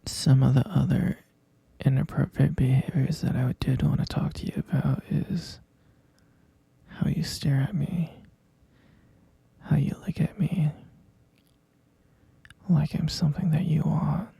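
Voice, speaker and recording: Man acting a hushed, gravelly middle-aged stepfather. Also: a little high, level tone, dry recording, whispered, exaggerated voice. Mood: hushed concern. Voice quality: breathy, gravelly. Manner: halting, hushed, drawn-out delivery. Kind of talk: scripted ASMR roleplay.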